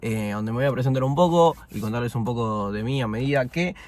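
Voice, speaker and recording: A man's voice: medium pitch, brisk, a little hiss or hum. Twenties man with a Buenos Aires accent, easygoing vlogger chatting between bites, loose and rambling. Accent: Argentine Spanish, Buenos Aires. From Argentina. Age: 20s. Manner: relaxed and chatty, many fillers, talking with his mouth full at times. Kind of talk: casual first-person vlog while eating. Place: indoors, eating fast food.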